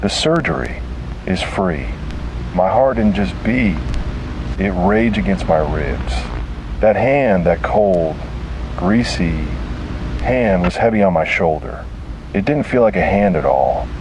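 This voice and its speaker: Male horror narrator, very low register, dramatic and deliberate, on a noisy found-tape recording. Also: animated. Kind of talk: scripted first-person horror narration.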